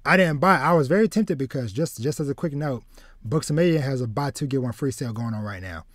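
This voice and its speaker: Podcast host, male, 30s, mid-range voice, halting repeated starts, conversational, dry recording. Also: medium pitch, expressive, dry recording. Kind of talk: casual chat about anime and manga. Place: small home room with shelves, video call.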